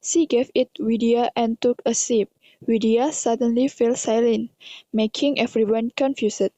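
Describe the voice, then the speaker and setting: A young woman with an Indonesian accent narrates an amateur radio drama. Her voice is fairly high and mellow, with a stilted read-aloud delivery. The recording is dull. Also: steady tone, a little slow, no room sound.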